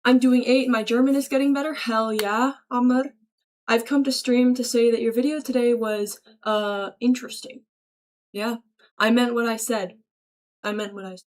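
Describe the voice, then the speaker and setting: Female streamer, higher-pitched, informal and slangy, chummily thanking and answering her chat. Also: fairly even intonation, moderate pace. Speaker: livestreamer greeting chat. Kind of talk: casual livestream chat, reading and answering viewer messages aloud.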